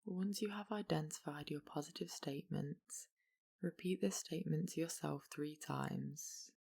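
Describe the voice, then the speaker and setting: A meditation guide gently and slowly leading a yoga relaxation practice, leaving long pauses between instructions. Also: medium pitch, creaky, husky.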